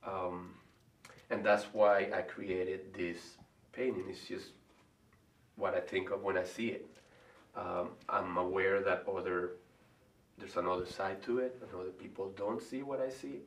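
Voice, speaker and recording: A man's voice: sits low, steady tone, a little slow. Man, Venezuelan Spanish accent, Spanish native, middle-aged, hesitant, faint hiss. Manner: thoughtful, hesitant, many ums and restarts. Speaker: artist and college faculty member. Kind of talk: interview answers. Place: room in front of a large painting. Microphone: far camera. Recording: echoey room from far off, faint hiss.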